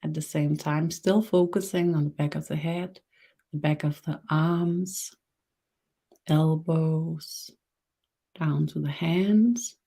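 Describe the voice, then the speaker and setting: Danish woman host, lowish mellow voice, halting English, guided meditation. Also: steady tone, gravelly, breathy edge. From Denmark. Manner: slow and measured, halting with fillers and restarts; soft, guiding instructions. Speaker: webinar host, energy worker. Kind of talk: live-streamed webinar with guided body meditation.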